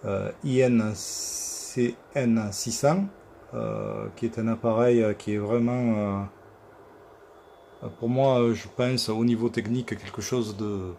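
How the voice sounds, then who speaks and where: A man giving an informal equipment demonstration as a repair technician, speaking haltingly with many hesitation sounds. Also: lowish voice, steady tone, creaky, mellow, slight background noise. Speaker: radio repair technician presenting his work. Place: electronics workshop.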